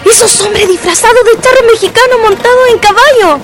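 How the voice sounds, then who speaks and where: A woman's voice: very high voice, brisk. A woman playing a perky, bossy, encouraging young Chilean girl in a radio drama. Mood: excited. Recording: music under the voice.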